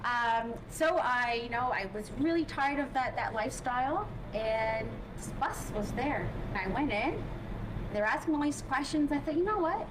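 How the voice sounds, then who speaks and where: A chatty woman guest from Poland, a native Polish speaker, talks in a high, bright register. She keeps adding "right?" and "you know" as she talks. The recording has clear background noise running under her voice. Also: animated, normal speed. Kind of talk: casual interview chat.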